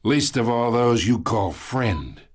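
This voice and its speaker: An actor playing an ageing, wounded, sly mob boss in a video game, with a gravelly voice and a taunting, falsely chummy drawl. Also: male voice, mid-range voice, lively intonation, slow. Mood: needling. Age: older. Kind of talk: dramatic video game acting.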